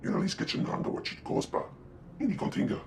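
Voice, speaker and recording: A man playing a gruff alien street dealer with a gravelly voice, speaking an invented tongue in a video game. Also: quick pace, background noise, lightly acted. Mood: animated.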